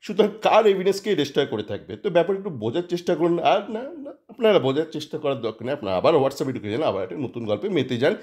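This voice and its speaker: A man's voice: a little high, very animated, darkish tone. A man telling an improvised story and commenting on it informally, often repeating and correcting his phrases. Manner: halting, repetitive phrasing with frequent self-corrections.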